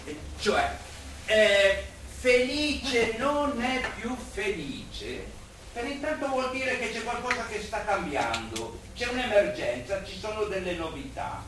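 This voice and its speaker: Man acting courteous educated doctor, animated pitch, hesitant formal speech, stage drama, very echoey noisy room. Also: takes it slowly, exaggerated voice. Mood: explanatory. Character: polite, cautious educated man, a non-medical doctor. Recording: distant, echoey theatre recording with noise.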